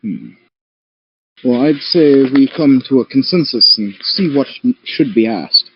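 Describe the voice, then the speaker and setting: A man's voice: medium pitch, animated, a little slow, slightly rough. A man playing a tabletop game, moving between casual chat and talking in character. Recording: dry, dull lo-fi audio.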